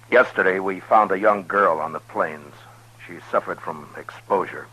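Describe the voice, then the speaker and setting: A stern old-radio western lawman, low forceful voice, halting and grave. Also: slightly rough, full character voice, poor audio. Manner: deliberate, restrained, halting pauses. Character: stern, weary frontier lawman, measured and grave. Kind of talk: 1950s radio western acting with first-person narration. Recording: 1950s radio broadcast with music.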